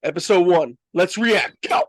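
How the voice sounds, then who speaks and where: High-voiced enthusiastic man hosting a review. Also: expressive, measured pace, no room sound. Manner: emphatic, slangy, enthusiastic. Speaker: host of a review show. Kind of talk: casual fan review chat.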